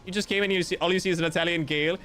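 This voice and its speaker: A man's voice: high voice, fairly even intonation, rapid-fire, bright, forceful tone, smooth, soft background music. A man streaming live, reading out viewer comments in a playful, theatrical way with mock-grandiose joking. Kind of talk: casual livestream chat reading viewer comments.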